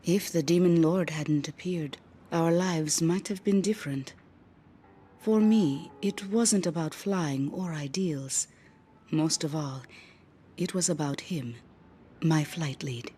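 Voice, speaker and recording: An actress playing a former fighter pilot in a video game, reflective and measured as she mourns her flight lead. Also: low-pitched, dark tone. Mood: wistful. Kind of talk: dramatic interview-style monologue in a video game. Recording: music behind the voice.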